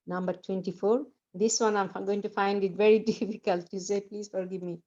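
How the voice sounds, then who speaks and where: A woman's voice: medium pitch, steady tone, a little fast, dark tone, lo-fi sound. A woman chanting verses on a video call, numbering each verse and stumbling now and then with a hesitant, apologetic manner. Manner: hesitant, apologetic, laughs at her mistakes. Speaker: verse reciter.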